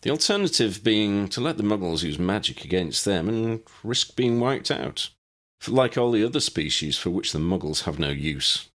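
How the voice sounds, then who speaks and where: A man acts out a pensive, bookish gentleman in a fantasy audiobook. He is formal, measured and wordy, courteous to a fault, with highly animated intonation. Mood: measured, apologetic.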